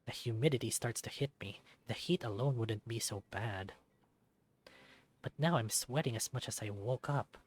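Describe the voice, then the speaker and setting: A man's voice: mid-range voice, normal speed, slightly rough, close to an everyday voice. A man voicing a self-deprecating young male otter, narrating a visual novel in the first person with wry self-mockery. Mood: mildly weary.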